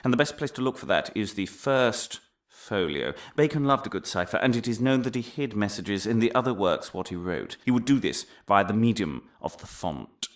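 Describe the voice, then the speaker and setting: An actor playing a pompous crackpot professor, giving a comic mock lecture with theatrical pauses and sudden outbursts. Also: male voice, on the low side, lively intonation, natural voice. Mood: smug, pedantic.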